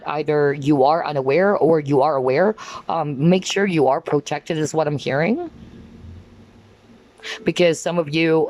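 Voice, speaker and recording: A woman giving a rambling spiritual card reading, filling her talk with "like" and "um" as she pauses to pick up messages. Her voice is going hoarse. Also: low voice, darkish tone, slight background noise. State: losing her voice. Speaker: tarot card reader.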